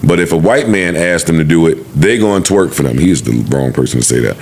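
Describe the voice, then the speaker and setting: Low-voiced male podcast host. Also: animated, brisk, darkish tone, a little hiss or hum. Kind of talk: casual panel talk.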